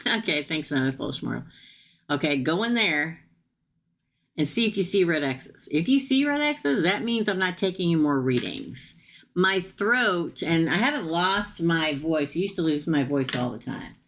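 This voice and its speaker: A woman giving a chatty live-stream tarot reading, talking straight to her viewers, tired, with her voice starting to go hoarse. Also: sits low, lively intonation, normal speed, a bit bright, slight background noise. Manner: chatty, addresses viewers directly. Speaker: tarot reader.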